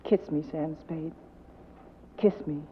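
An actress playing a seductive, evasive femme fatale with a coaxing, dramatic manner in a 1940s radio drama. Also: female voice, sits low, fairly even intonation, takes it slowly, breathy edge, exaggerated voice. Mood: weary, seductive. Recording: tinny vintage 1940s radio recording.

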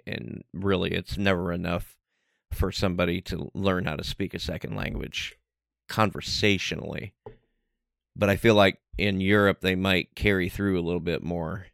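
Deep, gravelly podcast co-host, smooth tone, rambling thinker. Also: male voice, steady tone, normal speed, fairly bright tone, dry recording, studio quality. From United States. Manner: rambling, repeats words as he thinks aloud. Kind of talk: casual chat.

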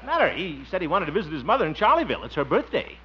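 A man's voice: high voice, big pitch swings, rapid-fire, husky, heavily acted. An American man playing a trusting, easily flustered small-town marshal in a 1950s radio comedy, with a theatrical, chuckling, sputtering delivery. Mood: confident. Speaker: lead comic actor. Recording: muffled, noisy old radio recording.

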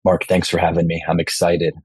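A man guest in an interview, talking in a measured, steady way with frequent ums and uhs. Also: sits low, husky, no echo. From Texas. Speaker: guest, rehab director. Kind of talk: interview answers. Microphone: remote call.

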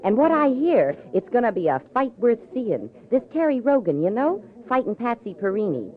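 An actress playing a flirty, chatty cigar stand clerk in a vintage radio crime drama. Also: female voice, on the high side, very animated, moderate pace. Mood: gossipy. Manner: sassy, chatty. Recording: tinny vintage radio broadcast.

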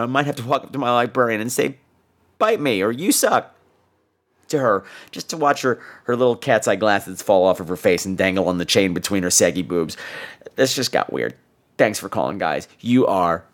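A man's voice: medium pitch, lively intonation, moderate pace. A man hosting a casual podcast, theatrical, sarcastic and foul-mouthed, speaking while sick with flu and laryngitis. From New York. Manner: sarcastic, profane, mock-offended. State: ill with flu and laryngitis, on cold medicine. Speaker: podcast host. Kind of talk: casual chat, listener feedback segment.

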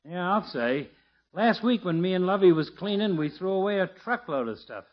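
High-voiced wisecracking barber. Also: male voice, lively intonation, normal speed. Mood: casual, game. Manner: folksy, slangy, sardonic. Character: wisecracking, gossipy small-town male barber. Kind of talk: 1950s radio sitcom acting. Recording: muffled 1950s radio recording.

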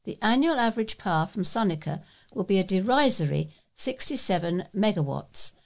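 A woman's voice: mid-range voice, expressive, normal speed, gravelly. British woman reading out local news and letters, volunteer newsreader style.

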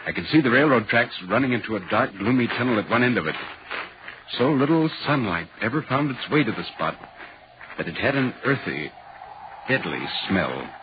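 A polite, inquisitive reporter played by a male actor tells an eerie story in 1940s radio style. His delivery is dramatic and animated in pitch, over a hissy old recording. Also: dull audio, close to an everyday voice. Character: inquisitive, polite London newspaper reporter recounting an eerie tale.

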